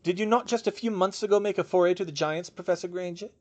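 Man playing a needling, suspicious male diplomat, raised pitch. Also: quick pace, darkish tone. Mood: challenging, sardonic. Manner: pointed, challenging questions. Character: male Nordic ambassador, challenging, suspicious, needling.

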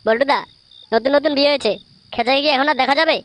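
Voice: high-pitched, quick pace, fairly bright tone. A performer voicing a cheeky, gossipy young male sidekick in a comic cartoon dub. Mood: eager.

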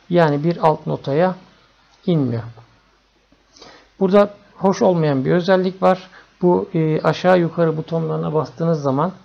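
A man narrating an informal electronics tutorial in an unhurried, matter-of-fact way. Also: a little high, vocal fry, a little mellow. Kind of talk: informal explanatory screencast narration. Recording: dull, lo-fi screencast audio.

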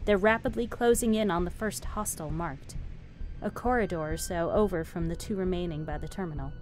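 A woman's voice: fairly low pitch, fairly quick, darkish tone. A woman doing scripted narration, reading stage directions as a narrator. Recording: music under the narration.

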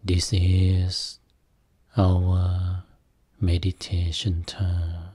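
A man guiding a Buddhist meditation as a teacher, speaking soothingly in short phrases with long pauses between them. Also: deep voice, monotone, dark tone, breathy edge. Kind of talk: guided meditation.

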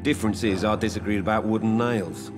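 Low-pitched male actor as a blunt, decent injured tradesman; down-to-earth game dialogue with music under it. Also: fairly even intonation, fairly quick, lightly acted. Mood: frank, resigned. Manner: blunt but courteous, down-to-earth. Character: plain-spoken working-class carpenter, injured family man.

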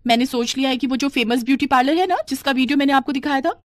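A woman puts on a vain young newlywed for an animated moral story. The voice is pitched high, petulant and self-important, and sounds dry and close. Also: rapid-fire, no echo, natural voice. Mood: excited, bossy.

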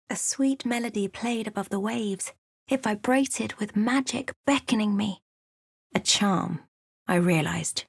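An actress playing a watchful, introspective female fantasy adventurer, narrating her journey in measured, literary game prose. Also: on the low side, dry recording, studio quality. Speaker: video game character. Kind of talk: first-person past-tense scripted game narration.

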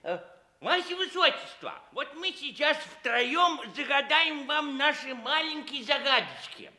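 A very high, piercingly bright clown of a court minister, teasing and playful in old stage-comedy style. He draws out his phrases and repeats himself, with a swooping delivery. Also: animated, full character voice. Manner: clowning, drawn-out, stumbling repetitions. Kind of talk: comic theatrical radio play acting.

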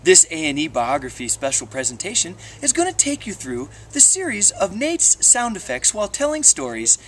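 Presenter, scripted mock-documentary introduction, very animated swooping intonation, faint background noise. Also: medium pitch, moderate pace.

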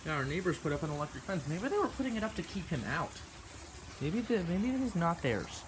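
A woman's voice: on the low side, sing-song, brisk. A young woman vlogging casually on her phone, chatting about her trip and a stray dog that wandered into the yard. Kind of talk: casual vlog chat. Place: porch and house, outdoors and in. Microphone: handheld phone camera. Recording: noisy phone audio outdoors.

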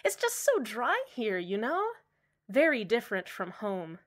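A woman's voice: medium pitch, very animated, measured pace. A woman playing a smiling, menacingly cheerful male radio host in a radio drama, sweetly condescending. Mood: cheerful, sly.

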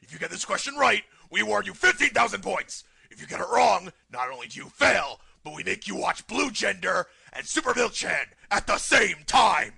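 Casting: menacing cartoon drill-sergeant proctor, very raspy, piercing, sarcastic bully. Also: male voice, high voice, very animated, moderate pace, few pauses, sharp tone, full character voice. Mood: gloating, menacing. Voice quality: gravelly, raspy. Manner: barking, theatrical, sarcastic. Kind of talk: comic anime parody dub acting.

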